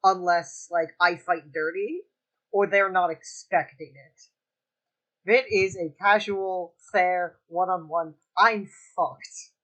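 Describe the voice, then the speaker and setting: A young man with a light, high-pitched voice, chatting casually and joking about himself on a podcast. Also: slow pace, a bit bright, rough recording. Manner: chatty and self-mocking, joking and laughing easily. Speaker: streamer.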